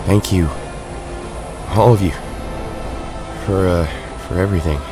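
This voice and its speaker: Audio drama lead, man acting: battered young hero, deep and unhurried, with music behind. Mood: grateful. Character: weary young male hero, warm-hearted, battered.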